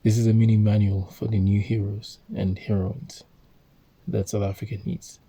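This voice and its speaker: A man from Zambia reading a book aloud and commenting on it, halting often with fillers and self-corrections. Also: on the low side, little pitch movement, gravelly, dark tone, slightly rough.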